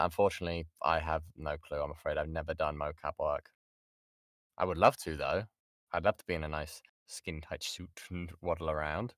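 A man's voice: sits low, fairly bright tone, dry recording, clean studio sound. A British man, a voice actor, chatting informally and self-deprecatingly as he answers questions in an online workshop. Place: insulated padded home booth. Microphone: XLR studio microphone.

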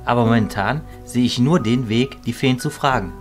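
Man acting a male mentor, calm, measured, reassuring, fantasy audio drama, clear music behind. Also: medium pitch, lightly acted. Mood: frank, reassuring.

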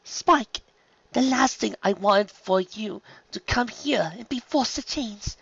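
A man's voice: sits high, slow, gravelly, fairly bright tone, husky, no echo, lo-fi sound, voice pushed up, full character voice. A man voicing a prissy, overprotective female unicorn in a storybook reading, melodramatic and easily distressed. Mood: tearful pleading. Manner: melodramatic, stammering when upset.

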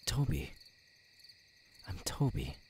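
A man acting a twitchy, unstable young man with tics in an ASMR roleplay, halting and stammering, menacing yet apologetic. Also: close to an everyday voice. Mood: halting, wary. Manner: halting, stammering, broken by sudden shouted outbursts. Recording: night crickets, steady background noise.

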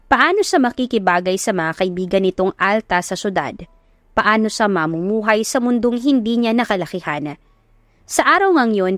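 A woman's voice: medium pitch, dry recording, studio quality. A woman with a Filipino accent giving scripted narration of a love story as an audiobook narrator.

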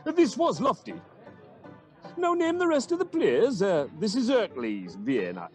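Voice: mid-range voice, wildly swooping pitch, unhurried, faint music behind. An actor playing a bumptious, eager weaver and amateur player in a Shakespearean radio play, pompous and theatrical in delivery. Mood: grandly declaiming.

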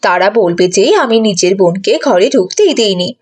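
A woman's voice: mid-range voice, animated, normal speed, a little mellow, slightly rough, pitched below the performer's own voice. A woman playing an arrogant, insincere rich aunt in a children's cartoon, cold beneath false hospitality. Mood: falsely hospitable.

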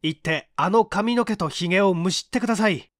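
A man's voice: high voice, fairly quick, fairly bright tone, no echo, studio quality. Man acting an urgent, earnest young hero. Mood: fierce, accusing. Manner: urgent, polite, emphatic. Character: earnest, quick-witted young male assistant turned bold accuser. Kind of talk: dramatic audiobook character reading.

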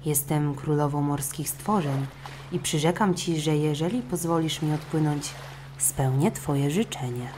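A gentle, magical queen of sea creatures from a storybook, voiced by a woman. She speaks low and softly, with calm courtesy, over faint noise. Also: measured pace. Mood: pleading, gracious. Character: small magical golden fish queen, gentle and gracious.

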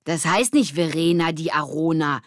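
Woman as a brisk, bossy schoolgirl. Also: low voice, no echo, clean studio sound, natural voice. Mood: correcting. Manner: hurried, sharp-tongued.

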